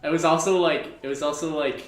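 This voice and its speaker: A man's voice: a little high, steady tone, fast talker, roomy sound, faint music behind, low-quality recording. A man of twenty chatting casually as a podcast co-host, rambling through a story with lots of fillers. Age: 20s. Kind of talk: casual chat.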